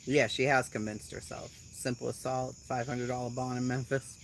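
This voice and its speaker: A woman with a Boston accent hosting a casual live chat at home, blunt and quick to swear, laughing often as she reacts to comments. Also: a bass voice, flat delivery, slight background noise. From Boston area, USA. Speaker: channel host.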